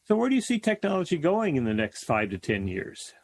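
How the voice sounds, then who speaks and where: A man's voice: fairly high pitch, wildly swooping pitch, a little fast, gravelly. A man hosting a talk show, interviewing conversationally and repeating words as he frames his questions.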